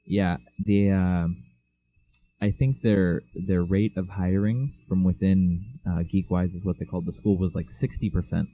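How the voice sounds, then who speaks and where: A man's voice: low voice, steady tone, a little slow, no room sound. A teenage man co-hosting a casual podcast, rambling with lots of fillers. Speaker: podcast co-host. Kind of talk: casual chat. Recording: dull lo-fi mic.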